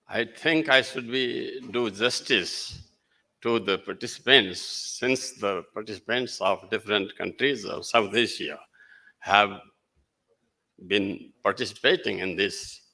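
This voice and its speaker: A man, a native Nepali speaker with a Nepali accent, giving a halting, emphatic formal speech as guest speaker at a ceremony. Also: lowish voice, pushed and bright, poor audio. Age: older.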